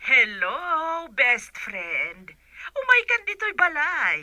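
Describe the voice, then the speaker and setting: Sharp, very animated Ilocano woman. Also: high voice, takes it slowly, no echo, exaggerated voice. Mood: brisk, urging. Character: animated best friend phoning with a delivery job. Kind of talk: Ilocano radio comedy-drama acting.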